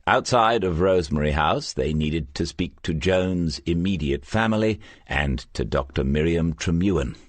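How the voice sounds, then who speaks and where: Very deep, husky male narrator. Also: lively intonation, normal speed, few pauses, no echo. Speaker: audiobook narrator. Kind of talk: scripted crime fiction narration.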